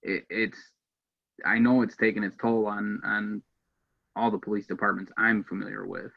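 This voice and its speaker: A man's voice: fairly low pitch, level tone, normal speed, gravelly. An American man giving casual interview answers as a podcast guest, earnest and a little hesitant. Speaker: police dispatcher, podcast guest. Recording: dull lo-fi sound.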